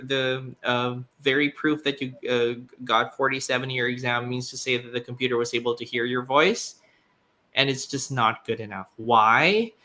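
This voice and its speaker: A man, an exam tutor who answers a viewer's question in a measured, explanatory way. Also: mid-range voice, pushed and bright. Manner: measured explanatory, occasional restarts. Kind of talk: live stream guest answering a question.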